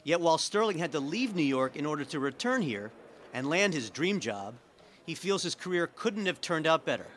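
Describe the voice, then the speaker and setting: A man's voice: a little high, big pitch swings, normal speed, a bit bright, a little hiss or hum. A man reading scripted narration for a news feature as a reporter.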